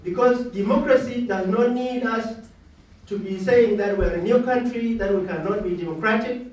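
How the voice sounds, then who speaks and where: A man's voice: high voice, normal speed, a little mellow. A man in the audience making an emphatic political point at a public discussion. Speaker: audience member. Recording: echoey lecture hall, distant mic.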